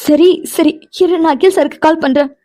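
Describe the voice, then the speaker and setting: A woman's voice: high voice, fairly even intonation, fairly quick, warm tone, close to an everyday voice. A woman playing an anxious, caring young woman in an emotional audio drama, pleading and fussing earnestly. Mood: concerned, soothing.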